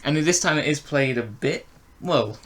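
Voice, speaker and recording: A man's voice: a little high, expressive, moderate pace, fairly bright tone, slight background noise. A man co-hosting a casual review chat, hesitant as he thinks his opinions through aloud. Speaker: co-host of a review show.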